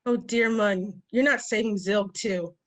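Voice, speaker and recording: A woman's voice: mid-range voice, fairly even intonation, a little slow, natural voice. A woman acts out a fussy orc with artistic pretensions in a comic fantasy read-through. The voice sits mid-range and has a prim, easily frazzled manner. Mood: huffy. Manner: prim, fretful. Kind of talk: fantasy comedy table read.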